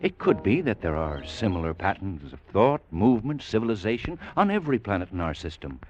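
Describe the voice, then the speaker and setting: A man's voice: lowish voice, big pitch swings, fairly quick, a little mellow, highs cut off, soft background music. An actor playing an earnest, awestruck spaceman longing for home, in a dramatic old-time radio style. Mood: awed.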